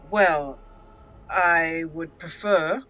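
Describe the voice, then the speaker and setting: A woman's voice: deep voice, a bit bright. A woman hosting a gaming video, giving let's play commentary and roleplaying her character's lines.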